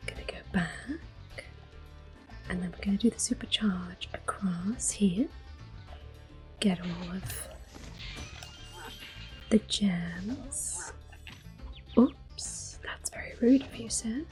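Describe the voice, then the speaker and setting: A woman whispering soft-spoken commentary while she plays a video game for an ASMR audience, close to the microphone. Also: mid-range voice, takes it slowly, long pauses, soft background music. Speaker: ASMR gaming host.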